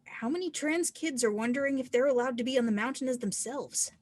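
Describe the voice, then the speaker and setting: A woman plays an encouraging, self-reliant non-binary teen snowboarder. The voice is high and closely recorded with a dry sound, reading dialogue from a film script. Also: fairly quick, darkish tone, no echo. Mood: earnest, encouraging. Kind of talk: screenplay table read.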